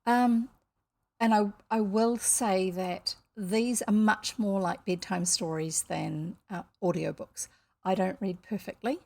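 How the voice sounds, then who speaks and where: Kiwi woman, storytelling streamer, mid-range voice with some fry, loose and chatty. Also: mid-range voice, fairly even intonation, slow, darkish tone. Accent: New Zealand. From New Zealand. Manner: rambling and chatty, many fillers. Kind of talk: casual chat to viewers.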